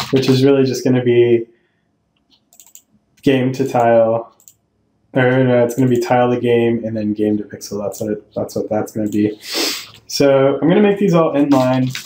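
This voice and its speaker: A man's voice: medium pitch, darkish tone, reverberant, slight background noise, poor audio. A man teaching programming over a screen recording, thinking aloud and correcting himself as he explains each step. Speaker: programming tutorial host. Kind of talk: screen-recorded coding tutorial. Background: keyboard typing and clicks.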